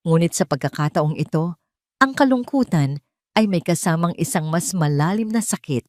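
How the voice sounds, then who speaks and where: Low-voiced Filipino woman narrating drama. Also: darkish tone, dry recording, clean studio sound. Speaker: audio story narrator. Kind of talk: dramatic scripted storytelling narration.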